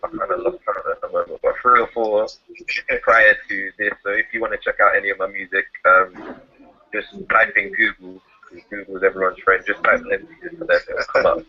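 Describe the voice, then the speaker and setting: A man's voice: lowish voice, steady tone, brisk, lo-fi sound. A man from London, a guest hip hop artist introducing himself casually, easygoing and hesitant with many fillers. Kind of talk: casual chat.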